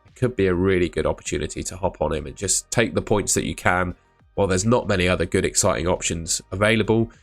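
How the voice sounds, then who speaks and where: A man, hosting a fantasy football channel, talking casually to camera, his voice croaky from shouting, chatty and thinking aloud with asides. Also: deep voice.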